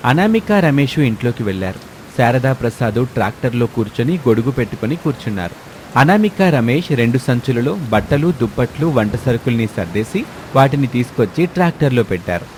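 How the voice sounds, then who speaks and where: Man, storyteller, scripted narration, mid-pitched voice, clear rain and vehicle noise behind. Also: big pitch swings, fairly quick, darkish tone.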